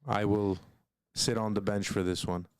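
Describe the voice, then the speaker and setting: A man's voice: lowish voice, little pitch movement, moderate pace, smooth, no room sound, clean studio sound. A man co-hosting a livestream, joking and teasing in casual chat. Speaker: co-host.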